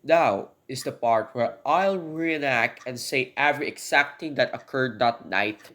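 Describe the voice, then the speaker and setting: A man's voice: mid-range voice, a little slow, a bit bright, a little hiss or hum, close to an everyday voice. A man playing an embittered poor convicted burglar in a radio drama, speaking formally and earnestly as he pleads his case and recounts his story. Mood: earnest recounting. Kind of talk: hobby radio drama acting, long monologue.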